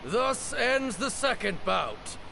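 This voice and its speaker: Slow, gravelly ritual master. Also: male voice, extremely high pitch, big pitch swings, bright, forceful tone, slight background noise, full character voice. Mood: solemn, commanding. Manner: formal, proclaiming. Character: solemn, formal male master of a ritual duel. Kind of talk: ceremonial video game acting.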